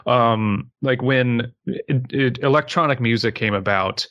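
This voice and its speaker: A man's voice: medium pitch, unhurried, fairly bright tone, highs cut off. A man co-hosting a podcast, speaking casually in a blunt, emphatic, sweary and opinionated manner. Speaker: podcast co-host.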